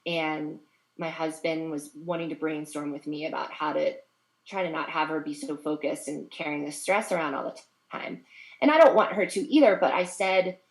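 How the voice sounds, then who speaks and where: A woman's voice: low-pitched, moderate pace, echoey room, poor audio. A middle-aged woman in a casual group chat, speaking candidly and reflectively and laughing easily as she tells her stories.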